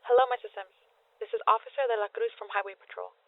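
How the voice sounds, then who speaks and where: An actress playing a brisk, formal female highway patrol officer, heard through a telephone voicemail filter. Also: fairly even intonation. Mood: official, urgent. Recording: tinny phone line, faint noise.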